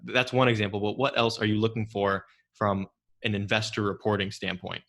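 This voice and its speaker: Low, bright-voiced man interviewing. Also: flat delivery, brisk, gravelly. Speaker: interviewer, podcast host. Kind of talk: conversational business interview.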